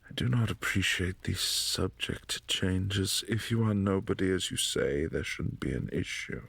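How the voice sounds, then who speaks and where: A man as a gravelly vampire prince. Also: low voice, animated, a little slow, no echo, exaggerated voice. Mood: cool, imperious. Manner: formal, commanding, softly menacing. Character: possessive, imperious male vampire prince. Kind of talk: boyfriend ASMR roleplay.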